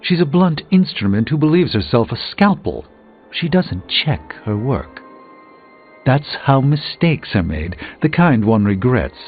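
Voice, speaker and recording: A man's voice: mid-range voice, very animated, a little slow. An actor playing a pompous, refined middle-aged male sorcerer in a video game, speaking formally with dry wit. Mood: disdainful. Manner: pompous, formal, dryly wry, theatrical. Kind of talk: scripted video game dialogue. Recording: thin phone-like band, music behind.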